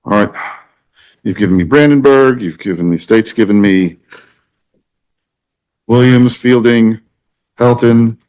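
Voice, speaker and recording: A man speaking as a judge in a courtroom discussion, thinking aloud in a halting, deliberative way with false starts. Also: on the low side, darkish tone, smooth. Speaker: judge presiding over a court hearing.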